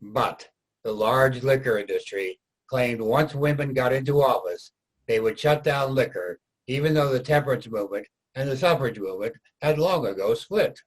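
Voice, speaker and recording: A man with a slightly husky voice narrating a scripted readers' theater piece. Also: mid-range voice, lively intonation, moderate pace, poor audio.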